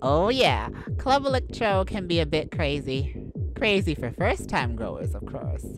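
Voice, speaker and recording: A woman playing an outgoing, playful, sassy young best friend in an audio roleplay, theatrical and teasing, with drawn-out words. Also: low voice, sing-song, music in the background. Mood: confiding, sassy. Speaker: ASMR roleplay voice actor. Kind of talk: ASMR audio roleplay, addressed to a listener.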